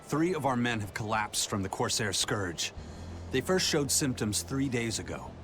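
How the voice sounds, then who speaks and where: A steady pirate officer from a video game, voiced by a man, with a medium-pitched voice. He speaks in a commanding, matter-of-fact way, with music clearly behind him. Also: moderate pace, close to an everyday voice. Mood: grave, urgent.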